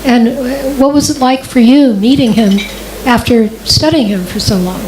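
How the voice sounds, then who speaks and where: A woman's voice: on the high side, moderate pace, darkish tone, a little hiss or hum, poor audio. A woman, a former journalist, asking a polite, hesitant question from the floor with a weak voice. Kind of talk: audience question at a talk. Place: club event room.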